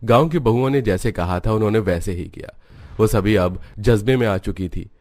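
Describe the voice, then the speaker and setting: A man narrates a cartoon tale in a scripted storybook manner. His voice is on the low side. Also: normal speed, darkish tone, no echo, studio quality.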